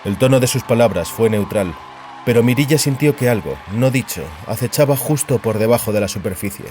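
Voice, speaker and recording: Man, audiobook narrator, scripted dramatic storytelling, middle pitch, faint music underneath. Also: animated, brisk, a little mellow.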